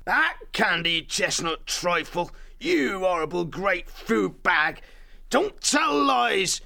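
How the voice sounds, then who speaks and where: A man voicing a stern male sea otter who speaks slowly and menacingly. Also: sits high, very animated, gravelly, pushed and bright, pitched above the performer's own voice, exaggerated voice. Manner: slow and menacing.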